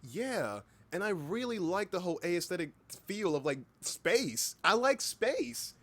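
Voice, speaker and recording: A man's voice: high voice, very animated, a little slow, a bit bright. A man hosting a casual livestream chat, speaking informally, with repeated words and occasional swearing. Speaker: livestream host and hobby voice actor.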